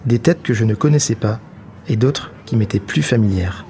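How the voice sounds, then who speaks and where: A man's voice: on the low side, normal speed, warm tone. Man narrating over light ambient noise. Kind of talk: scripted first-person novel narration. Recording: some background noise and ambience.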